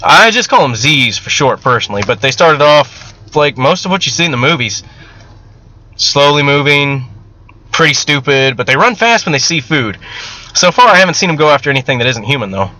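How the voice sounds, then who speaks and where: Man reading as an earnest young survivor, bright-voiced. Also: on the high side, animated, brisk. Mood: uneasy, candid. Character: earnest young male medical student surviving zombie apocalypse.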